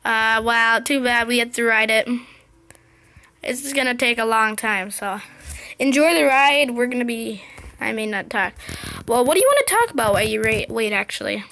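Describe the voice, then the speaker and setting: A young girl commentating her gameplay in a casual, excited, chatty way, her voice slightly scratchy. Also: a little high, moderate pace, vocal fry. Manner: excited, chatty, impatient exclamations. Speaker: gameplay video host.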